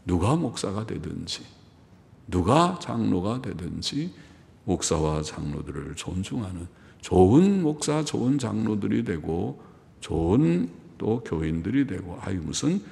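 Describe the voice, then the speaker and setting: A man's voice: sits low, sing-song, measured pace, gravelly, dark tone. A middle-aged man preaching a sermon as a pastor to his congregation, in a homely manner that mixes hesitant phrasing with emphatic exhortation. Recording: long hall reverb.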